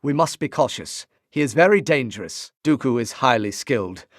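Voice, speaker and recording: A man's voice: medium pitch, lively intonation, moderate pace, no room sound, close to an everyday voice. A male actor playing a composed, wry Jedi master in a video game, with a refined British accent and dry, formal phrasing. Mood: measured, cautious. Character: composed, wry Jedi master, adult man mentoring an apprentice. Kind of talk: video game voice clips, short barks and dialogue lines.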